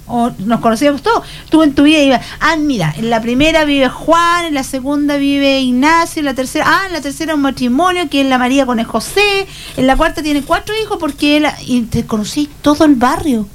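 High-pitched Chilean woman chatting. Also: brisk, few pauses. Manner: chatty, illustrates points with acted-out everyday dialogues. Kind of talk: casual conversational discussion.

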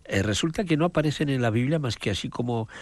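A man's voice: medium pitch, expressive, fast talker, no echo. A man, a priest, talking casually about women in the Gospels, rambling and often searching for names. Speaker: priest guest. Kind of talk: casual religious talk on a show.

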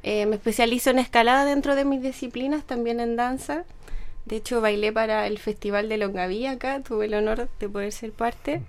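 A young woman with a Chilean Spanish accent, a sports instructor answering interview questions in a measured, friendly way. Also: on the high side, fairly even intonation.

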